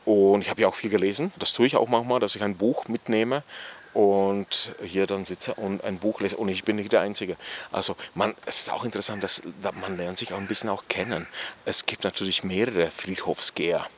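A man's voice: on the low side, steady tone, a little fast, a little hiss or hum. A man from the Czech Republic with a Czech accent, talking about his writing in a reflective, hesitant way full of fillers. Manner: thoughtful, hesitant, many fillers. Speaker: author. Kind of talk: relaxed reflective interview talk. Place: outdoors on a cemetery bench.

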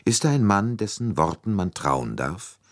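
A man's voice: lowish voice, big pitch swings, moderate pace, dry recording, studio quality, pitched above the performer's own voice. A man voicing a composed, methodical detective who questions courteously and precisely in an audiobook. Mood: businesslike. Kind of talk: audiobook character dialogue.

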